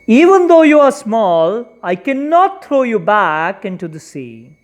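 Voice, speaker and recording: Narrator as a weary, poor fisherman, slow-spoken. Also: medium pitch, very animated. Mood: regretful. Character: poor, tired, hungry fisherman, henpecked and gentle.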